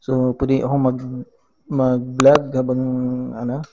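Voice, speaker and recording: Man, Filipino accent, unhurried, soft mellow tone, casual tutorial, halting delivery. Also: medium pitch, monotone, dull audio, a little hiss or hum. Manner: halting, searching for words, switches between Tagalog and English. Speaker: vlogger giving a gadget tutorial. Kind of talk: casual how-to demonstration.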